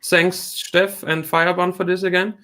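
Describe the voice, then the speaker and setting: A man from Austria with an Austrian German accent, a guest on a video call chatting casually, laughing often and speaking in halting phrases. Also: sits high, flat delivery, sharp tone. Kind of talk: casual chat. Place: home at night.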